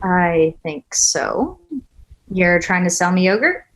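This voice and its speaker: A woman's voice: lowish voice, sing-song, takes it slowly. A woman acting in a table read as a jaded, blunt, wistful single woman on a date. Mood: teasing. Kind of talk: conversational script reading.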